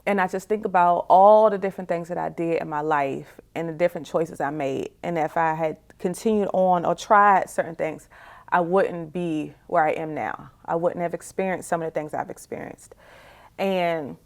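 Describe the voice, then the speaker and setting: A woman's voice: fairly low pitch, normal speed, gravelly. A woman in her forties giving a reflective, candid personal testimony as an interview guest, in a conversational way, often saying "you know". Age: 40s.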